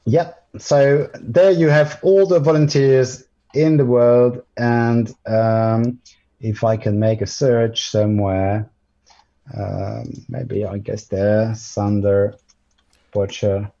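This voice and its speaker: A man's voice: on the low side, fairly even intonation, slow pace, creaky, dark tone, highs cut off. A man whose first language is French, presenting a live screen-share tutorial over a video call, with many ums and restarts. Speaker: guest presenter.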